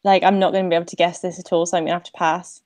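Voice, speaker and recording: A woman's voice: lowish voice, level tone, very fast, a little mellow. A woman casually answering as a quiz contestant, thinking aloud.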